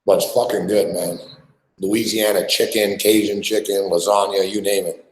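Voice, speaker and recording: A man hosting a sports betting show, chatting casually and loudly with plenty of swearing while he eats on air. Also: low voice, little pitch movement, normal speed. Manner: loud, profane, rambling banter. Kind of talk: casual live-stream chat while eating. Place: small studio. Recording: echoey room.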